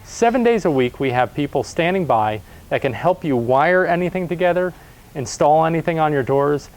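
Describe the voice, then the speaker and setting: A man's voice: on the high side, expressive, moderate pace. A man presenting a product overview in a matter-of-fact, instructional way. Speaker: product presenter for a door-access installer.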